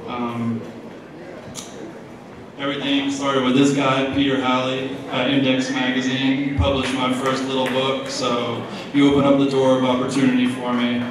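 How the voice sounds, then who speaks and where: A man's voice: mid-range voice, monotone, moderate pace. A young man from New Jersey giving a casual, joking acceptance speech at a gala, full of fillers, heard through the podium mic from the audience. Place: large hall, on stage at a gala. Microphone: podium mic through speakers, recorded from the audience. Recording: echoey hall from far off, noisy crowd. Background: crowd murmur and room noise.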